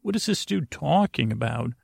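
A man with a creaky voice hosting a bedtime podcast, rambling in a halting way and often interrupting himself on tangents. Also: medium pitch, expressive, a little mellow, dry recording, clean studio sound. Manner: meandering, hesitant, halting self-interruptions. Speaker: podcast host.

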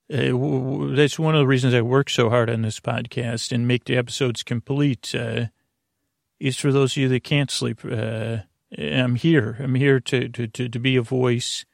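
A man with a mid-pitched voice full of vocal fry hosts a sleep podcast. He wanders through a whimsical monologue, stumbling and doubling back on his words while keeping the listener reassured and accompanied. The sound is dry, with no room around it. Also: no room sound. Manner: halting, meandering, stammering and repeating words; reassuring, companionable. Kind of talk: rambling, whimsical bedtime monologue.

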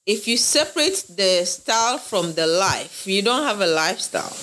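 Woman from Ghana, interview guest, earnest and bright, circles back on her points. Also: mid-range voice, big pitch swings, normal speed, a little hiss or hum, poor audio. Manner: earnest, repeats phrases, laughs often. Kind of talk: interview conversation.

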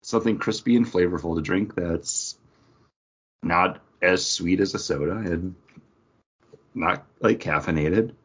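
A man co-hosting a podcast in a casual, chatty style, with a husky voice. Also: low voice, a little slow, long pauses, fairly bright tone. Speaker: podcast co-host. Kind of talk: casual chat. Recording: dull lo-fi audio.

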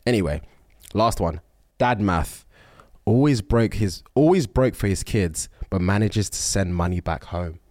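A man's voice: on the low side, animated, a little slow, a little mellow, dry recording, clean studio sound. A man co-hosting a podcast who chats casually, laughs a lot and keeps saying "facts". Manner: laughs often, reads lists out in bursts, interjects "facts". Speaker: podcast co-host. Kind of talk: casual comedic chat.

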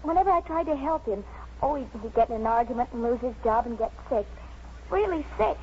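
A woman's voice: fairly high pitch, animated, a little fast, a little mellow. An actress playing an anxious, fragile young woman in a 1950s radio drama, hesitant, pleading and stammering. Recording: muffled, hissy 1950s radio broadcast.

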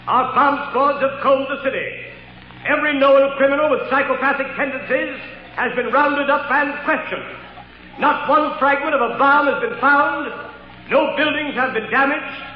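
An actor playing an embattled, stubborn police commissioner in an old radio drama, speaking formally, forcefully and defensively. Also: male voice, extremely high pitch, normal speed. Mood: defensive, insistent. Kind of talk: 1940s radio drama acting. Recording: dull, echoey old radio recording.

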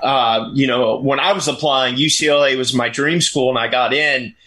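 A man's voice: medium pitch, steady tone, normal speed, a bit bright. A man in his thirties hosting a casual interview, asking loose, friendly questions. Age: 30s. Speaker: interviewer, host.

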